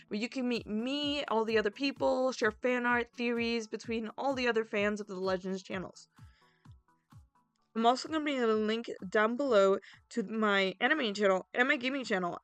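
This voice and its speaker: High-voiced woman chatting over music. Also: no echo. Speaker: roleplay series host and video creator. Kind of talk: casual YouTube outro announcements, chatting to viewers. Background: background music.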